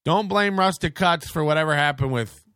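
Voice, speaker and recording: A man's voice: on the high side, moderate pace, sharp tone, clean tone, no room sound, clean studio sound. A man hosting a podcast in casual, rambling chat, crude and joking, with lots of restarts. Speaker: podcast host.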